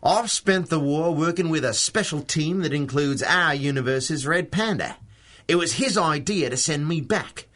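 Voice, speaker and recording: A man's voice: on the high side, moderate pace, fairly bright tone, natural voice. An actor playing a breezy, flippant male secret agent in a period-style radio drama. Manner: flippant, teasing, nonchalant. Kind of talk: 1930s radio drama acting.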